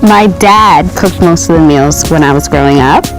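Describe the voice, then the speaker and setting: A woman answering dating show interview questions in a composed, measured way. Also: low-pitched, music in the background, rough recording.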